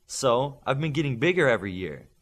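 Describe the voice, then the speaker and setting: A man's voice: mid-range voice, sing-song, fairly quick. A man voicing an emphatic, competitive superhero with giant powers in an audiobook. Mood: boastful.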